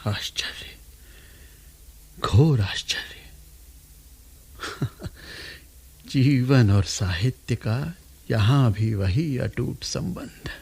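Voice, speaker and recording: An actor playing an elderly, learned poet-guru travelling in disguise, speaking in a theatrical, courtly, deliberate way in a classical radio play. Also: male voice, mid-range voice, expressive, vocal fry, a little mellow, slightly rough, exaggerated voice. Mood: intrigued. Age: older.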